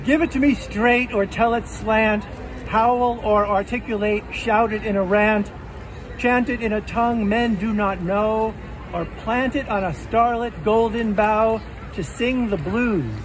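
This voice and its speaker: A man reading his rhymed poems aloud with a deliberate rhythm on an outdoor festival stage. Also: high-pitched. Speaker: poet. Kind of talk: poetry reading. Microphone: stand mic on stage, filmed by phone. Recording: noisy outdoor phone recording. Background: outdoor street ambience.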